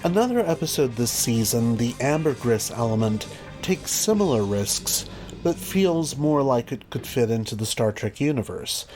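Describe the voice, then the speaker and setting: A man's voice: medium pitch, very animated, normal speed, a little mellow. Wry man narrating a review over music. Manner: wry, dry asides. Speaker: video reviewer, host. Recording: music behind the voice. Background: background music.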